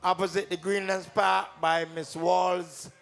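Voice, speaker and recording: Kittitian man, stage emcee, high-pitched, gravelly fry, teasing banter, live PA sound, music behind. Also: level tone, measured pace, a bit bright. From St Kitts. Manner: teasing, talks directly to audience members. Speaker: calypso tent emcee and comedian. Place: live calypso tent stage before an audience.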